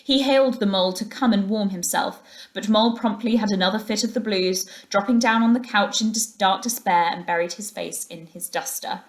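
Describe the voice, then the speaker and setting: Lady teacher reading a kids story out loud, normal pitch, expressive storytelling. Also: fairly quick, roomy sound. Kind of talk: expressive storybook narration read aloud.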